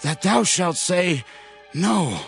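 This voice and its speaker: An actor playing a weary fugitive army captain who pleads in archaic English, in a dramatized scripture reading. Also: male voice, sits high, very animated, slow, gravelly, soft background music, heavily acted. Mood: urgent.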